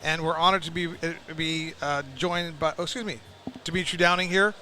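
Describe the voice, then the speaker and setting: A man's voice: a little high, steady tone, brisk, pushed and bright, a little hiss or hum. A man hosting a podcast interview, effusive and complimentary, talking in a loose, rambling way. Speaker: podcast host. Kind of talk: casual interview.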